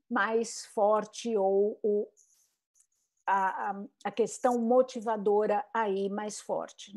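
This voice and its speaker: A woman's voice: mid-range voice, mellow. A woman giving an online talk on bilingual education, reflective and full of rhetorical questions and tag questions. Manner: frequent "né?" tags and restarts.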